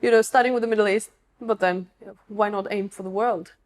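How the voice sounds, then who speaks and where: Woman, mid-pitched voice, upbeat, welcoming interview host. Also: fairly even intonation, brisk. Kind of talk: interview hosting.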